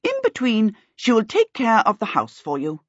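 A woman's voice: fairly high pitch, huge pitch swings, normal speed, slightly rough, no room sound, lo-fi sound, pitched above the performer's own voice, exaggerated voice. A woman voicing a bossy, rich older aunt who gives commands in an audiobook. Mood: imperious. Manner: commanding, decreeing. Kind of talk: audiobook character voice.

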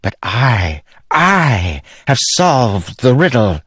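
A man voicing a scheming, haughty queen who mocks her husband in a high, scornful voice. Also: very animated, very slow, a bit bright, breathy edge, no echo, studio quality, full character voice. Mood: gloating. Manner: scornful, sneering, high and pinched. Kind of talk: audiobook character voice.

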